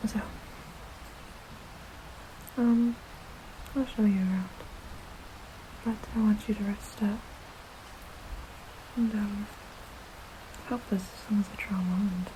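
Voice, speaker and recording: A woman's voice: medium pitch, a little hiss or hum, natural voice, low-quality recording. Woman as a whispering, nurturing vampire mother. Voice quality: soft, breathy whisper. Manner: slow, soothing, halting, reassuring. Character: gentle, nurturing vampire mother, centuries old. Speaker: ASMR roleplay performer. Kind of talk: whispered ASMR roleplay.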